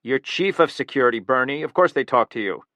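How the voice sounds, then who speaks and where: A man's voice: mid-range voice, animated, brisk, pushed and bright, no room sound, voice pushed up. A man voicing a bitter, menacing male killer who makes threats and flares into anger, in an audiobook reading. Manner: threatening, rising to angry outbursts.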